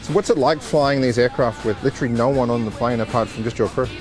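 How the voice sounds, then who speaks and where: Male news reporter, brisk scripted delivery, music clearly underneath. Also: medium pitch. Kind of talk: reporter voice-over.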